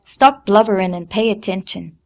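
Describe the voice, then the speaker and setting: Audiobook figure: a coarse, snapping mother of a big brood, loud and curt, read by a woman. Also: medium pitch, a little slow, lightly acted. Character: blunt harsh mother of twelve, middle-aged.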